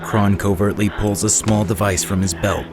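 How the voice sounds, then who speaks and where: A man's voice: lowish voice, level tone, moderate pace, background noise. A man giving dramatic, scripted narration of a sci-fi audio drama. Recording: loud music and effects behind.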